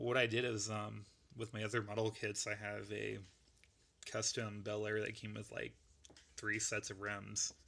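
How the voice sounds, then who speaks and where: A man with a gravelly voice that is going, casually rambling through a show-and-tell of a model build. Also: lowish voice, a bit bright. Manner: rambling, laid-back, lots of fillers. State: losing his voice.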